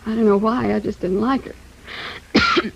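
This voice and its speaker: An actress playing a troubled, resentful young American niece with a husky, coughy voice in a 1950s radio drama. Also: female voice, mid-range voice, wildly swooping pitch, slow pace, a bit bright, exaggerated voice. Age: young adult. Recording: dull 1950s radio recording.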